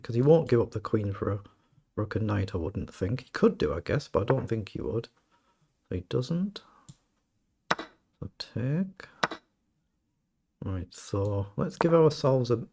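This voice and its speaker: A British man with a slightly croaky voice, casually commentating his own chess game and thinking aloud. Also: lowish voice, darkish tone. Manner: thinking aloud, self-questioning. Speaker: chess streamer.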